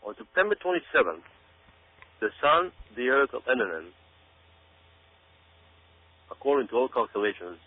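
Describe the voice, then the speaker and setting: A man's voice: mid-range voice, very animated, normal speed, long pauses. Guy doing dramatic commentary, repeats stuff to hammer it home, sounds like hes on a phone line. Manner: emphatic, dramatic, repeats himself for effect. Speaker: guest commentator. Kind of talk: commentary monologue addressed to listeners. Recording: tinny phone-like radio sound with hum.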